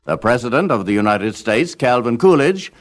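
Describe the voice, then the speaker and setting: A man's voice: medium pitch, very animated, moderate pace. A man reading scripted documentary narration as the narrator of a history of radio.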